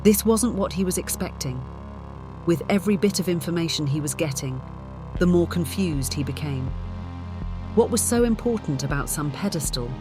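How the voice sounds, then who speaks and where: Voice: mid-range voice, expressive, moderate pace, music in the background, low-quality recording. A narrator reading scripted fiction for an audiobook.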